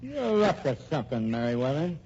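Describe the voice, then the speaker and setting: An actor playing a wary, hard-nosed, shady private detective in an old-time radio drama. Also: male voice, a little high, sing-song, a little fast, darkish tone. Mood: accusing. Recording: muffled, noisy old radio recording.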